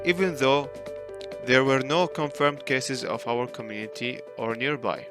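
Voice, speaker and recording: A man in his early twenties with a mid-range voice reads his prepared account plainly and without fuss. Loud music plays behind him. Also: medium pitch, steady tone, measured pace. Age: 20s. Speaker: medical student. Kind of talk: scripted personal account.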